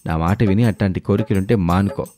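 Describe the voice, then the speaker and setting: Man playing a low-voiced, exasperated middle-aged husband. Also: fast talker, mellow, faint music behind, lightly acted. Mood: disapproving. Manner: grumbling, admonishing.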